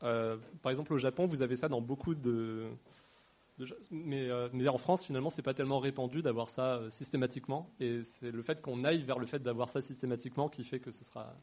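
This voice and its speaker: A man's voice: mid-range voice, a little fast, a little mellow. A man speaking casually on a panel discussion. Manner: casual, conversational. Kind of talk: public discussion.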